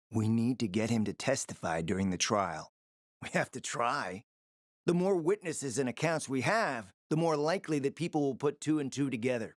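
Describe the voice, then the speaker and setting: A man's voice: mid-range voice, no room sound, close to an everyday voice. Casting: male actor for a calculating city schemer in a post-apocalyptic game, sardonic and confident, very varied intonation, close dry sound. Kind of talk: dramatic video game dialogue.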